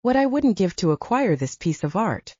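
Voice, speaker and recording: A woman's voice: mid-range voice, big pitch swings, normal speed, warm tone, slightly rough, no room sound. A woman voicing an intense, earnest adult male werewolf with formal phrasing in an audiobook story. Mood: admiring. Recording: dull lo-fi audiobook recording.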